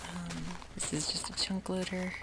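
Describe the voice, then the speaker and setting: Low, gravelly whispering woman. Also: flat delivery, moderate pace, noisy background. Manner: soft, rambling whisper with many ums. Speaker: gaming ASMR host. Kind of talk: whispered ASMR gameplay commentary.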